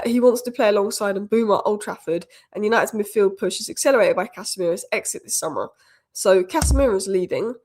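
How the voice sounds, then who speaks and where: A woman hosting a football news roundup, a little croaky from a cold, giving opinionated fan commentary. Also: mid-range voice, darkish tone. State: has a cold, slightly croaky. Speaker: football channel host. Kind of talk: fast solo news roundup.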